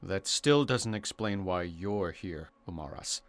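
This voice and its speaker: A boastful, hard-drinking young scion of a rich family in a radio thriller. He is petulant and cocky, with a bright, forceful tone and very animated intonation. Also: deep voice, measured pace, exaggerated voice. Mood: flustered, defensive. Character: spoiled, boastful, hard-drinking playboy heir. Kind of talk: Cold War radio drama acting.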